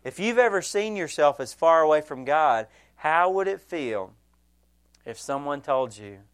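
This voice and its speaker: A man's voice: on the high side, expressive, measured pace, smooth. A male pastor preaching a sermon, earnest and direct, addressing the congregation with frequent rhetorical questions.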